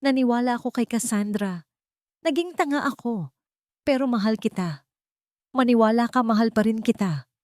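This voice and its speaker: A woman's voice: fairly high pitch, expressive, moderate pace, pauses often, a little mellow, dry recording, studio quality, voice pushed up, lightly acted. A Filipino woman voicing a cowardly rich husband who pleads tearfully in an audio romance story. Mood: tearful begging.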